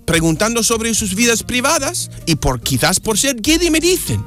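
A man from the United States, a native English speaker, chatting in Spanish with an American accent as an interview guest, halting and restarting words. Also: soft background music. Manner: halting, restarts words, animated pitch swings. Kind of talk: casual interview chat.

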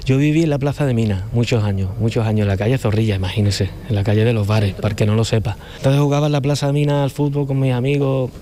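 A middle-aged painter with a Cádiz Andalusian accent, chatting as an interview guest in a loose, rambling way. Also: male voice, medium pitch, fairly even intonation, fast, hardly any pauses, mellow, slight background noise. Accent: Cádiz Andalusian Spanish. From Cádiz, Spain. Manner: rambling, loose talker who often circles back. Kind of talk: relaxed conversational interview.

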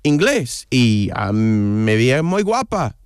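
A man's voice: medium pitch, very animated, slow, clean tone, no room sound, studio quality. A man whose first language is English, speaking Spanish with an English accent as an interview guest, hesitant and searching for words with many fillers. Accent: English-speaking learner of Spanish. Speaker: interview guest, newspaper columnist. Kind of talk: casual interview chat.

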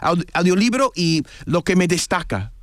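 A native English-speaking man talking as a radio guest, pitched a little high for a man. He stops often to hunt for words and restarts, yet stays keen and lively. The sound is dry and close. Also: normal speed, no room sound. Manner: hesitant, searching for words, enthusiastic. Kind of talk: casual radio conversation.

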